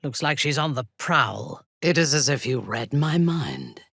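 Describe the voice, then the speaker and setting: Imperious female dark elf warlord for a fantasy game: slow, husky delivery, coldly menacing, ruthless and formal. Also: rumbling low, lively intonation, no room sound, studio quality, full character voice. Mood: dry, composed. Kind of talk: video game character dialogue.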